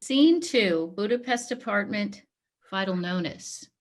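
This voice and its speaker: A woman's voice: mid-range voice, lively intonation, measured pace. A woman hosting a play reading and narrating scripted stage directions. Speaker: host and stage direction reader.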